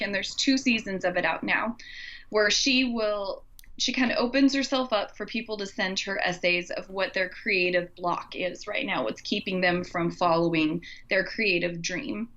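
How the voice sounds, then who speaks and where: A woman's voice: medium pitch, sharp tone, muffled recording. A woman co-hosting a podcast, chatting casually and effusively in an informal way. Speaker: podcast co-host. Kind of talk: casual conversational podcast chat.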